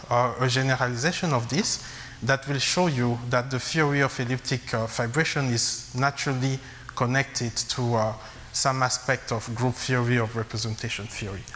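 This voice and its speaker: Man from congo, math lecture, halting with many fillers, echoing lecture hall, faint hiss. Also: medium pitch, fairly bright tone. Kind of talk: academic lecture at a blackboard. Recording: long reverb, faint hiss.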